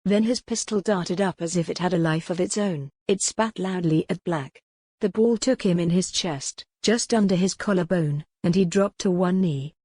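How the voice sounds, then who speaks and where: A woman narrates a historical romance audiobook in a fairly low register. Her delivery is scripted dramatic storytelling, recorded dry with hardly any room sound. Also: normal speed, warm tone, breathy edge, no echo.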